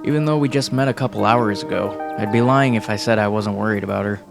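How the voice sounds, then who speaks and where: A man plays a polite young newcomer in a visual novel. His voice is fairly low and creaky, with clear music behind it. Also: fast talker. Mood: polite, curious. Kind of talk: fan voice acting for a visual novel.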